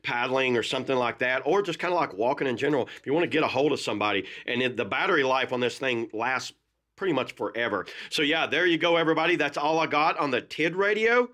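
A middle-aged man talks through a product at a desk as an unboxing host. His pitch is ordinary for a man, and his way of talking is informal and folksy. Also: fast talker, fairly bright tone. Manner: informal, folksy phrasing with "y'all" and "gonna". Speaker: gear reviewer, host. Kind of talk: casual unboxing and product review. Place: indoors at a desk.

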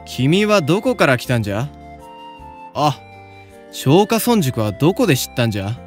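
An actor playing a confident, kindly senior samurai student with a Yamaguchi accent and old-fashioned turns of speech, in a voice drama. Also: male voice, mid-range voice, big pitch swings, moderate pace, faint music behind, natural voice. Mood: affable. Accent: Yamaguchi (Choshu). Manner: old-fashioned, breezy authority. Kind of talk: anime-style voice drama.

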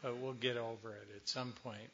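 An older man, a little hoarse, giving a slow, reflective talk to a recovery meeting with many pauses. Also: medium pitch, level tone, fairly bright tone, highs cut off, a little hiss or hum. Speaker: speaker at a recovery workshop.

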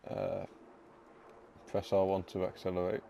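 A man's voice: sits low, sing-song, measured pace, creaky, dark tone, a little hiss or hum. A man chatting casually with a friend while gaming, teasing him and laughing often. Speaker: gamer and video host.

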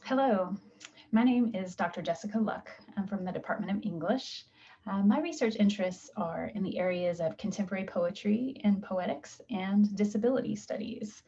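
A woman English professor introducing her research from a room at home over a video call, measured and thoughtful with soft fillers. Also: medium pitch. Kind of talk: faculty self-introduction. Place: home room with paintings and map. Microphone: video call webcam. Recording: dull, muffled webcam sound.

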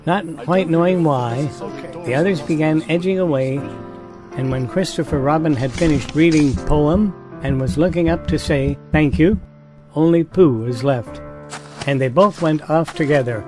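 A man's voice: a little high, normal speed, music in the background, close to an everyday voice. A man narrating a children's storybook drama from a script. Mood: gentle.

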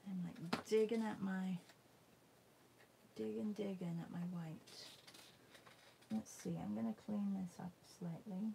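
A woman talks through a live painting demo in a medium-pitched voice with plenty of fry. She is halting and musing, half speaking to herself as she works. There is a faint noise behind her. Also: fairly even intonation, mellow, low-quality recording. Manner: halting, musing, murmurs to self while working. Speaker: art demonstrator. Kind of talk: live painting demo, casual thinking aloud. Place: indoors at a painting table.

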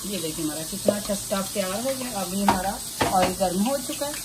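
A woman's voice: medium pitch, animated, brisk. A woman giving a casual step-by-step cooking demonstration in a home kitchen, often repeating her phrases as she shows each step. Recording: noisy home kitchen recording.

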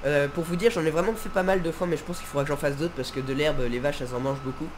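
A man's voice: fairly high pitch, fast talker, background noise. A man with a nasal, stuffed-up voice from a head cold, giving casual, hesitant let's play commentary as he thinks aloud. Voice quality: congested, nasal. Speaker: gaming video host.

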